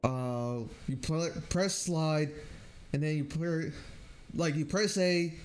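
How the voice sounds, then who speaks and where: A man chatting casually on a game livestream in a halting, hesitant way, thinking aloud as he goes. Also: on the high side. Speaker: game streamer. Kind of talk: casual livestream chat, rambling review.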